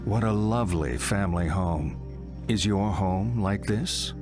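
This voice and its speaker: Low-voiced, coaxing psychotherapist. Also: male voice. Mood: coaxing, probing. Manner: deliberate, coaxing, slightly theatrical. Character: smooth, probing middle-aged male psychotherapist. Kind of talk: dramatic video game monologue. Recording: loud music under the voice.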